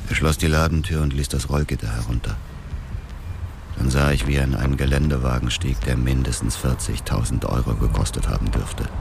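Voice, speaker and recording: An actor playing a grief-haunted, obsessive man who narrates a radio crime drama in a brooding, measured way. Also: very deep voice, fairly even intonation, gravelly, noisy background. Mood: brooding, tense. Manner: brooding, measured first-person telling. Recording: music behind the voice.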